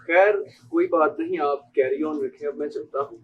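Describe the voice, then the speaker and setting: A man's voice: on the high side, moderate pace, narrow, tinny audio, music in the background, natural voice. A young man with a Pakistani accent acting a genial, fatalistic doctor, a family friend, in an amateur stage play. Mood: breezy, evasive. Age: young adult. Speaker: student stage actor.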